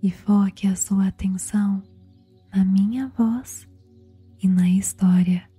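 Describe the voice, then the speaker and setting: Breathy, whispering Brazilian woman, very slow. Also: medium pitch, steady tone, dark tone, no echo. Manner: slow, soothing, with long pauses. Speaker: guided meditation host and storyteller. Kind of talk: whispered ASMR bedtime storytelling. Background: background music.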